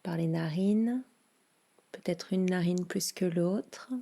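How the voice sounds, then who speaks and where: A woman leading a guided yoga nidra relaxation in a soothing, hushed manner with long pauses. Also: lowish voice, creaky.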